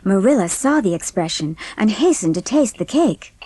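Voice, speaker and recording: A woman's voice: medium pitch, big pitch swings, normal speed, background noise. A woman reading scripted storybook narration as the narrator of a dramatised audiobook.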